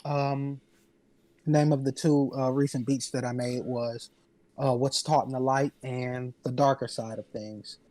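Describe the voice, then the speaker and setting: Halting man in a dry recording. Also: mid-range voice, level tone, a little mellow, no echo. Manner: halting, pausing between short phrases. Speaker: podcast panelist, music producer. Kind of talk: casual chat.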